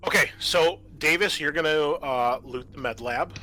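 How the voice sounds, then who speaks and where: A man's voice: on the high side, normal speed, pushed and bright. A man acting as game master of a tabletop roleplaying game, talking casually to the players.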